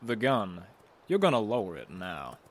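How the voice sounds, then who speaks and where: A man playing a smug, unhurried leader of an armed squad in an audio drama, with a wry, wordy, politely commanding manner. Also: on the low side, wildly swooping pitch. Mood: wry, in control.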